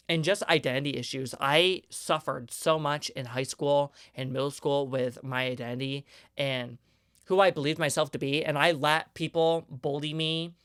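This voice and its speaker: Young male podcast host, 20s, higher-pitched voice, clean and smooth, earnest emphatic solo rant. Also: a bit bright, no echo, studio quality.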